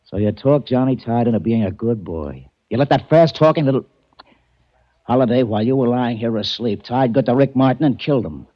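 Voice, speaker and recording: A man's voice: medium pitch, brisk, natural voice. A man playing a sardonic police lieutenant. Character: gruff sarcastic police lieutenant. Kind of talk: 1940s radio acting. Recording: dull old radio recording.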